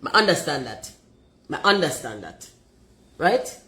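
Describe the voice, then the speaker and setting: A Jamaican woman with a deep voice, talking forthrightly and emphatically and slipping into patois. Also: very animated, unhurried, a bit bright, reverberant. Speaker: commentator.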